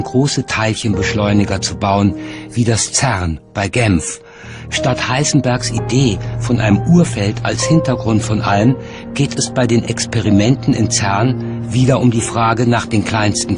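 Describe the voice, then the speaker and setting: Male documentary narrator with music behind. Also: lowish voice.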